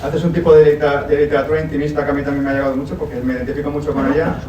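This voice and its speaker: A man's voice: fairly high pitch, flat delivery, racing, highs cut off, noisy background. A middle-aged man from Valencia in the audience at a conference Q&A, praising the authors with effusive enthusiasm. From Valencia, Spain. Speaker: audience member. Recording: echoey hall from a distant mic, crowd behind.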